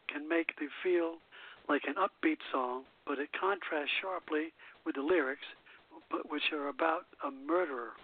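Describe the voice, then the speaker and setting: A slightly high-pitched man reads history notes on a call-in radio show. He comes through a thin, tinny phone line. His reading is halting and trips over unfamiliar names. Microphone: phone line into a call-in internet radio show.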